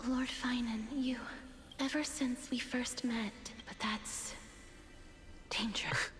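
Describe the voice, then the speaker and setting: A woman playing a tender, dreamy young maiden in a video game, soft, hesitant and formally courteous. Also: on the high side, fairly even intonation, slow. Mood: tender, restrained. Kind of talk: game voice acting. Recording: prominent music behind.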